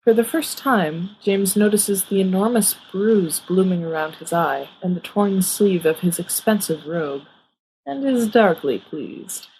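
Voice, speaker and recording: A woman's voice: mid-range voice, animated, unhurried, darkish tone, a little hiss or hum, poor audio. A woman reading fiction aloud as scripted audiobook narration. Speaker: audiobook reader.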